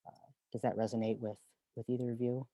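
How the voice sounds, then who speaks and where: A male moderator with a mellow, even voice speaks in a dry, close sound. He stumbles a little as he looks for the right words. He hands the floor to the panelists. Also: medium pitch, no echo, low-quality recording. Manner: halting, searching for words.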